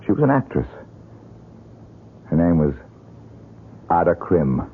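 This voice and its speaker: An actor playing a troubled, bitter young man in an old-time radio mystery, speaking in a halting, dramatic, husky way. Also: low-pitched, very animated, slight background noise, heavily acted. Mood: brooding. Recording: muffled, lo-fi radio drama recording.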